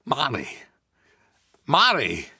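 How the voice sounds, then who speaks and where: A man voicing an awkward, nervous, eccentric oddball in a comic table read, with halting delivery and odd stresses. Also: medium pitch, huge pitch swings, creaky, sharp tone, breathy edge, dry recording, clean studio sound, heavily acted. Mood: earnest, awkward.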